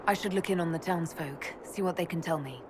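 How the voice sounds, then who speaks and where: A woman plays a resolute elven mage leader in a fantasy game. Her voice sits fairly low, calm and authoritative, with faint noise behind it. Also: fairly quick, natural voice. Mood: concerned, businesslike. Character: composed, resolute female elf mage leading an inquisition. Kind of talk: video game dramatic acting.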